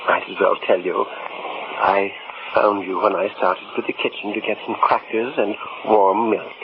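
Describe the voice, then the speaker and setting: A man's voice: lowish voice, fairly even intonation, measured pace. A man with an American accent playing a pompous, indignant, fussy older uncle in 1950s radio style, formal and self-righteous. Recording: noisy, tinny old radio recording.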